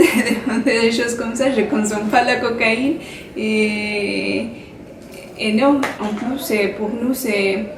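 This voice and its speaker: A young woman from Colombia speaking French with a Colombian Spanish accent, talking frankly and chattily to camera as a vlogger and laughing between points. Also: fairly high pitch. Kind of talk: casual talk to camera. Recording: roomy sound from a distant mic, faint hiss.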